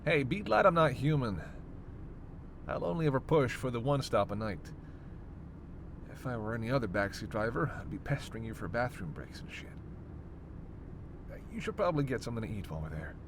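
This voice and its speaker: Over clear vehicle noise comes a snarky vampire captive , played by a man in a roleplay audio drama. His voice sits at an average male pitch. He needles and flirts with sardonic, sweary banter, sighing as he goes. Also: expressive, a little fast, pauses often. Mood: playful, bantering. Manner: sardonic, flirtatious teasing with sighs and cursing. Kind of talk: roleplay audio drama, one-sided dialogue.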